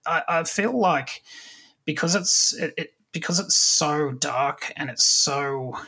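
A man in his late thirties hosting a casual chat about films and comics, often halting as he searches for words. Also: a little high, bright, forceful tone, breathy edge. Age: late 30s. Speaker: podcast host.

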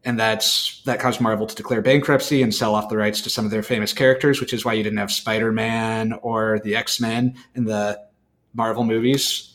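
A man's voice: lowish voice, flat delivery, moderate pace, a bit bright. A man co-hosting a podcast, explaining informally and often restarting his thoughts as he goes. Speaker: podcast co-host. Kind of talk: informal explanatory panel talk.

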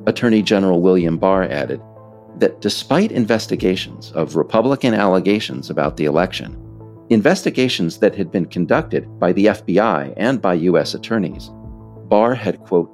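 An American man reading scripted narration as the author of a book, in a measured, emphatic storytelling manner. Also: low voice, darkish tone.

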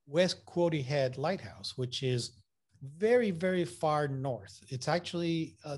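A man from Puerto Rico with a mixed Puerto Rican and Massachusetts accent, talking casually as an interview guest, enthusiastic and full of fillers and restarts. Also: fairly high pitch. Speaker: photographer guest.

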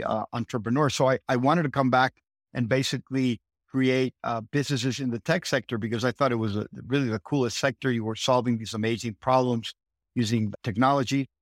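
A man's voice: medium pitch, no room sound, studio quality. A middle-aged man from Guatemala with an American accent, a podcast guest speaking earnestly and often restarting his sentences. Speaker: guest, startup city co-founder. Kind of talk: podcast interview.

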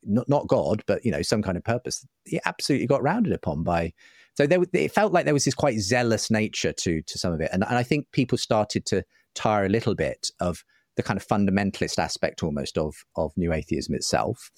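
Middle aged guy, low voice, dry sound, explaining stuff earnestly like an interview guest, pretty articulate. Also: very animated, a little fast, no room sound. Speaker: interview guest, writer and broadcaster. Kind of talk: reflective conversational explanation.

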